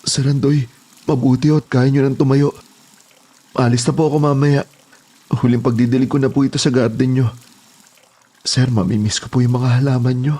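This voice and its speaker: A man's voice: mid-range voice, steady tone, moderate pace, pauses often, dark tone, husky, lightly acted. A man playing a meek, apologetic houseboy who speaks politely and deferentially in a horror audio drama. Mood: wistful, polite.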